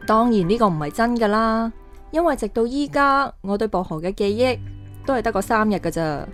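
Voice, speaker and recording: A young actress playing a gentle woman haunted by lost love in a radio drama, with a Hong Kong Cantonese accent. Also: fairly high pitch, lively intonation, fairly quick, soft background music, lightly acted. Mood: wistful, gentle. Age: young adult.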